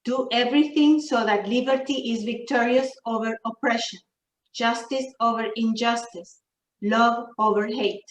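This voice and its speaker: A woman reads spiritual quotations slowly and solemnly. Her voice sits fairly high. She is far from the mic in a roomy space, with a faint hiss behind. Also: steady tone. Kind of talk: reading quotations at a memorial.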